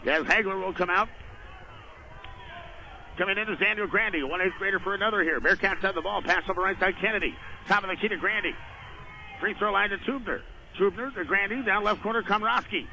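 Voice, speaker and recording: Male basketball play-by-play announcer, very high voice, clipped excitable delivery, noisy radio broadcast. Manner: rapid clipped descriptions, rising to excited shouts on big plays. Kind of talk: live radio sports commentary. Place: indoor basketball game.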